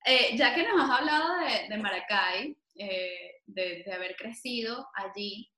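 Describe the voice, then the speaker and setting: A woman hosting an interview, asking questions in a lively, familiar way with many fillers. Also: medium pitch, unhurried, roomy sound. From Venezuela. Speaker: interviewer, host. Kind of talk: conversational interview.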